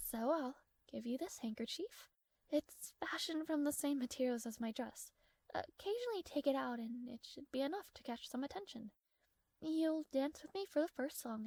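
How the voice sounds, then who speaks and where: A woman's voice: high voice, a little mellow, dry recording. A woman playing a proud, strong-willed young princess in a romantic fantasy audio roleplay, speaking formally with haughty, flustered hesitations.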